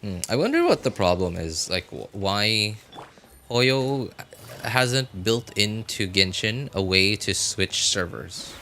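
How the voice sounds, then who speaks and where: A man's voice: on the low side, very animated, takes it slowly, sharp tone, a little hiss or hum. A man hosting a game livestream, chatting casually and rambling as he repeats and reworks his phrases. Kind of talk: casual live stream chat.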